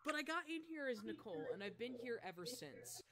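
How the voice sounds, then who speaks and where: A woman's voice: fairly high pitch, very animated, a little fast, crowd noise. A woman leading a voice acting team, chatting on a live stream call with lots of laughter and rambling restarts. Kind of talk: casual live stream chat. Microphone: video call.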